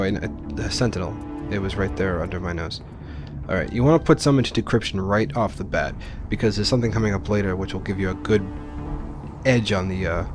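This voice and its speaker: Low-voiced man casually commentating a game. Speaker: let's play host. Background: game music and sound.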